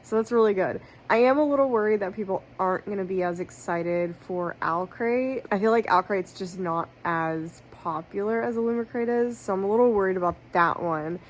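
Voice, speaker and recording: A woman's voice: mid-range voice, normal speed, hardly any pauses. Woman, 30s, book vlogger; rambling casual chat, worn out and stuffy-nosed, faint noise behind. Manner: rambling, self-correcting, fillers like "like". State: tired and congested. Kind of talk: casual chatty vlog. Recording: faint background noise, lo-fi phone clip.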